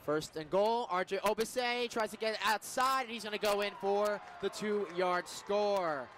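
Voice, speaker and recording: An American man calling football live on the radio as play-by-play announcer, with excitable, emphatic delivery. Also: sits high, unhurried, a little hiss or hum. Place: football stadium broadcast booth.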